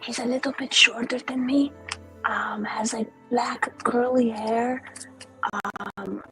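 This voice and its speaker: Chatty higher-voiced woman player. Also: steady tone, slow, pushed and bright, soft background music. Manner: rambling, chatty. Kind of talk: casual tabletop game chat.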